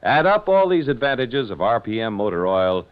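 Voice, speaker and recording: An American man delivers a vintage radio commercial. His mid-pitched voice is measured yet very melodic, salesmanlike and polished. The recording is dull and old. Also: very animated, clear, resonant tone. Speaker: radio announcer. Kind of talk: 1940s radio commercial read.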